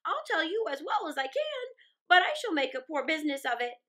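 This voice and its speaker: A woman's voice: very high-pitched, sing-song, a little fast, pushed and bright, exaggerated voice. A woman voicing a large, kindly, gossipy crow in a storybook reading, with squawks and emphatic phrasing. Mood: kindly, earnest.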